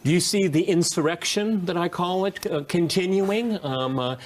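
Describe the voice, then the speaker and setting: A man hosting a political panel discussion, speaking haltingly and repeating his words as he goes. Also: high voice, a little hiss or hum. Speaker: talk show host.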